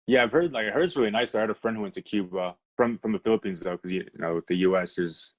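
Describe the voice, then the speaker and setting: An American man telling stories casually as a podcast guest, halting and often dropping in "like". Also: fairly low pitch. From United States. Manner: halting, peppered with "like". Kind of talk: casual conversational storytelling.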